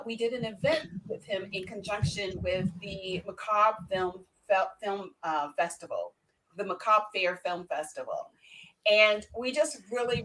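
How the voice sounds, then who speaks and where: A woman hosting an online talk show with excited introductions and banter, emphatically repeating words for effect. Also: medium pitch, a little hiss or hum, rough recording.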